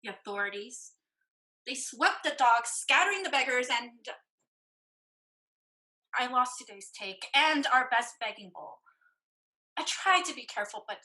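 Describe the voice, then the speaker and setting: A woman's voice: a little high, lively intonation, a little slow, long pauses, sharp tone, rough recording. A woman acting in a stage play reading as a devoted, cautious sister who blames herself. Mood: ashamed, downcast. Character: anxious, devoted, limping sister, cautious and self-blaming.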